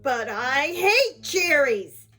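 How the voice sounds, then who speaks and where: Storybook dwarf, played by a woman: cross little man, loud, rude, slow emphatic growly grumbles. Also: fairly high pitch, animated, soft background music. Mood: rude, shouting. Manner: grumbling, blunt, shouting. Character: angry, grumpy male dwarf, rude and shouty.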